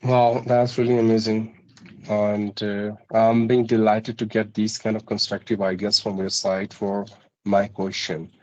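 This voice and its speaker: A man's voice: lowish voice, level tone, unhurried, darkish tone, lo-fi sound. A man interviewing a learner for spoken practice, asking long questions with hesitant fillers. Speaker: English teacher interviewing a learner.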